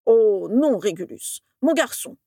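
A woman's voice: mid-range voice, measured pace, no echo, lightly acted. Flustered elderly male wizard professor for an audiobook, played by a woman, timid, quavering, stammering, dry close sound. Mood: fearful, dismayed. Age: older.

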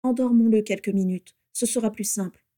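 A woman's voice: medium pitch, a little fast, warm tone, no echo, studio quality, close to an everyday voice. A composed young woman from a fantasy audiobook, voiced by a narrator. She is protective and sensible, with a practical, reproving way of speaking. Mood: calm, practical.

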